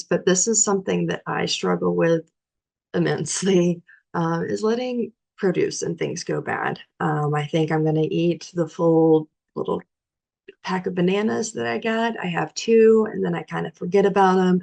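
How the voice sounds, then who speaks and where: Nutrition educator, young woman, low and gravelly, hoarse from a cold; easygoing teaching manner. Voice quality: raspy. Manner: relaxed, practical, with frequent "um" fillers. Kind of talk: informal library talk on cooking.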